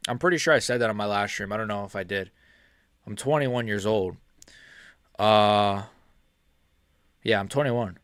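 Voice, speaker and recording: A man's voice: on the low side, moderate pace, pauses often, fairly bright tone, clean tone, no echo. Young man, 21, casual stream talk, rambling, dry voice. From United States. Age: 20s. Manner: rambling, filler-heavy, laughs often. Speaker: MMA YouTuber streaming live. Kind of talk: casual live-stream chat reading chat questions.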